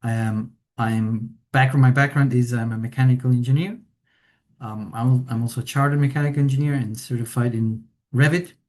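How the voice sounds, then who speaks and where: Chilean-born man giving a software webinar. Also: mid-range voice, fairly even intonation, moderate pace. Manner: hesitant, many fillers, tag questions. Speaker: implementation consultant presenting a webinar. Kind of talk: presentation walkthrough.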